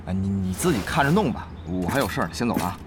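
An actor playing an earnest, easily flustered guild leader in an online game, stammering and exasperated, in a Chinese radio drama. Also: male voice, deep voice, big pitch swings, racing, background noise. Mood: awkward, evasive.

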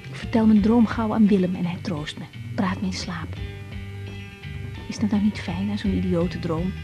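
An actress in a radio drama playing a reflective, tender young woman doctor who narrates her thoughts softly and intimately. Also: medium pitch, fairly even intonation, moderate pace, faint music behind, natural voice. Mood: musing. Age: young adult. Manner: musing inner narration, soft and intimate. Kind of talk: 1980s radio drama acting.

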